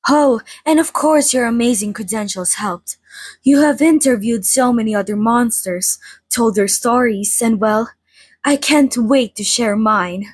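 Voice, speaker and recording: A theatrical female vampire over a hundred years old, played by a woman, chats in a soft, husky, breathy voice. She is playful and mock-grand, like a hostess in an intimate ASMR roleplay. The sound is close and very dry. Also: a little high, a little slow, dry recording. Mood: earnest, dignified. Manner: playfully grand, mock-formal, dramatic, chatty. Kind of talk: ASMR roleplay, chatty in-character monologue. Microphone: close studio microphone, dry.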